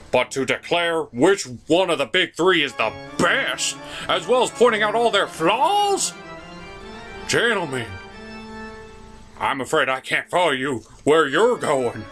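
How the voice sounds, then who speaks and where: A man voicing a fretful, excitable puppet sidekick in a melodramatic, incredulous manner for a comedy show. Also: a little high, exaggerated voice.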